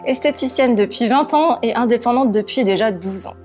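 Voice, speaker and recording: A woman's voice: on the high side, a little fast, a little mellow. A woman in her forties giving a promotional testimonial as a self-employed beautician. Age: 40s. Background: music.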